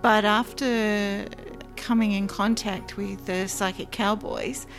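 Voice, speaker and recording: A woman, a guest in an interview, telling of her past work in an earnest, measured way with frequent ums. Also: medium pitch, steady tone, faint music behind, rough recording. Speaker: former psychic line worker, guest. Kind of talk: interview, personal recollection.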